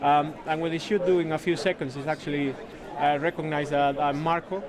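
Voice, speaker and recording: Spanish-accented man, fairly even higher-pitched voice. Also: a loud crowd. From Spain. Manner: hesitant, halting delivery with repeated words. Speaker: software consultant demonstrating a product, interviewee. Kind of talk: casual product demo and interview. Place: busy conference venue.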